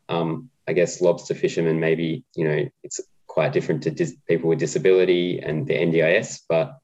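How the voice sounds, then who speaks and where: A man talking casually as an interview guest, relaxed and hesitant with frequent ums. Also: extremely low pitch, husky, dull audio. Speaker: guest, co-op policy adviser.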